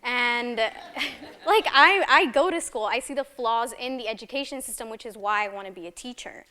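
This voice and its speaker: A young woman student from El Salvador speaks on a town hall panel in a fairly high voice. She is passionate and poses pointed rhetorical questions. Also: normal speed, sharp tone. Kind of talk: impassioned panel remarks.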